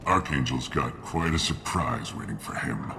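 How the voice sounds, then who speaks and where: A man playing a gruff, blunt, grumbling mercenary gunship mechanic in a video game. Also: rumbling low, lively intonation, normal speed, noisy background, full character voice. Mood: gruff, impatient.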